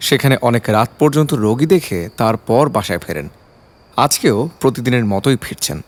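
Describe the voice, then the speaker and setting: A man's voice: mid-range voice, expressive, a little fast. A man narrating a scripted detective story in a dramatic, suspenseful storytelling style.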